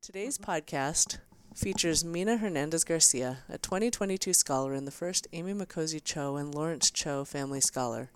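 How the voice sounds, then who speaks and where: A woman's voice: low-pitched, smooth. A woman hosting a podcast, reading a formal introduction of her guest and welcoming her. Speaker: host.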